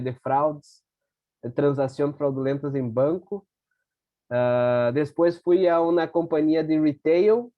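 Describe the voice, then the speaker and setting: A man in his thirties from Brazil speaks Spanish over a video call with a Brazilian Portuguese accent. His voice sits a bit high and comes through dull and dry, with halting, self-correcting phrasing. He mixes in Portuguese words as he goes. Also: no room sound. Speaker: guest speaker.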